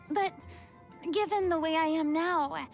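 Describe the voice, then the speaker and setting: A woman's voice: extremely high pitch, slow pace, faint music behind, full character voice. An actress voicing a proud, girlish former deity for a video game, with a dramatic, refined, haughty delivery that hides melancholy. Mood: proud, playful. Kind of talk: English video game character voice acting.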